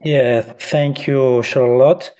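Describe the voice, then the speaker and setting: A man's voice: mid-range voice, fairly even intonation, warm tone, highs cut off. A man from Argentina, a native Spanish speaker with an Argentine accent, introducing himself carefully on a panel. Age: adult father. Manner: slow and careful, with repeated restarts.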